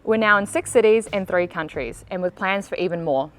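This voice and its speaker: A woman's voice: medium pitch, a little fast, fairly bright tone. An Australian woman narrating a scripted company recruitment video as a presenter.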